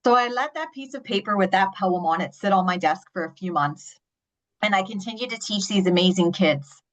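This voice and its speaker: A woman's voice: mid-range voice, a little fast. A woman giving a prepared storytelling talk as an author and teacher, with an emphatic delivery. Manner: emphatic, acts out quotes. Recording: dull, band-limited audio.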